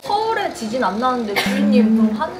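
A woman's voice: fairly high pitch, very animated, fast, heavy background noise. A woman in her thirties hosting a casual chat and asking questions. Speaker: talk show host. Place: small restaurant. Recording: loud music behind.

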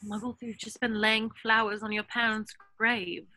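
A woman's voice: on the high side, little pitch movement, moderate pace, bright, forceful tone, a little hiss or hum, low-quality recording. A young woman reading an anxious, watchful teenage girl witch in an amateur table read, sounding wary and concerned. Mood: uneasy. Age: young adult. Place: indoors on a group video call. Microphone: video call.

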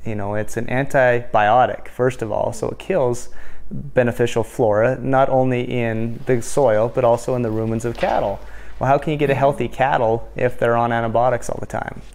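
Gravelly-voiced rancher man. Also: mid-range voice, fairly even intonation, normal speed, darkish tone. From Wyoming. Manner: earnest, many restarts and fillers. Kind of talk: documentary interview. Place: indoor room.

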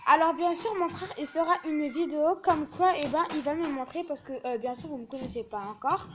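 A woman's voice: high-pitched, fairly quick, slight background noise. A young girl filming a home video on a phone, chatting casually in a hesitant way with many fillers, in a small living room. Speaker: camera operator. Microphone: phone camera held by speaker.

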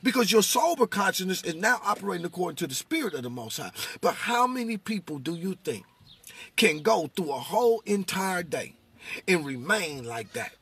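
A middle-aged American man with a raspy voice, giving impassioned, casual testimony about faith in a preacher-like way, inside a vehicle. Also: sits high, normal speed. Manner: animated, preacher-like, repeats phrases for emphasis.

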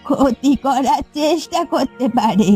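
A woman playing a high, mellow old granny. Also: unhurried, faint music behind. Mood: cautioning. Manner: cautioning, elderly counsel. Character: wary, protective old village woman. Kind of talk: Bengali cartoon folk-tale dialogue.

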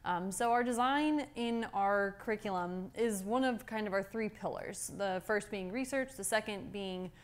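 A woman's voice: medium pitch, moderate pace, hardly any pauses. A young woman giving an informal, upbeat and explanatory guided campus tour as a student guide. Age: young adult. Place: indoor university labs and hallway. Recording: steady clear background room noise.

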